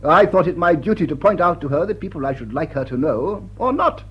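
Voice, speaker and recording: Self-important aristocrat for radio comedy-drama; wounded, halting, very animated delivery, dull lo-fi recording. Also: male voice, fairly high pitch, husky. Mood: apologetic, insistent. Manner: pompous, halting, repeats himself for emphasis. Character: pompous, flustered English lord, self-important and wounded.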